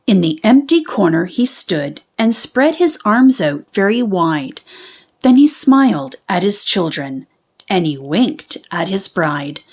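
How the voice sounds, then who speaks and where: A woman reading a children's storybook aloud in an expressive, deliberate way with dramatic pauses. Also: mid-range voice, breathy edge. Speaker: children's book reader. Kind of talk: animated storybook read-aloud.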